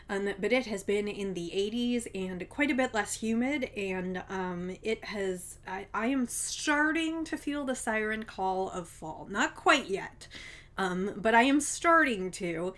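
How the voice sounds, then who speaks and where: A woman's voice: medium pitch, a bit bright. A woman hosting her own show in a casual chat to camera, slightly congested from a cold and chatty, with frequent ums. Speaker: podcast host.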